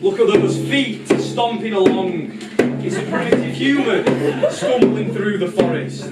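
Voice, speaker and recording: A man's voice: sits high, unhurried. Man, comic live storyteller, informal, ad-libbing, roomy sound, far from the mic. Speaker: narrator. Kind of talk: comedic storytelling narration for a live puppet show. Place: live show in a room, music behind. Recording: roomy, distant live capture with loud music.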